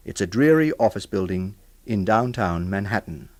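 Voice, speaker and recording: A man's voice: fairly low pitch, lively intonation, normal speed. Formal male radio host. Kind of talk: scripted radio introduction.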